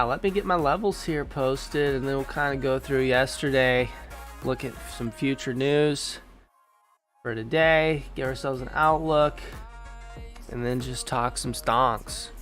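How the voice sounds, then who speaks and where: A man's voice: medium pitch, steady tone, slow, long pauses, fairly bright tone, soft background music. A young man hosting a live stream in casual, slangy chat, sounding stuffed-up and nasal from allergies. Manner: laid-back slangy chatter. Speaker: livestream host.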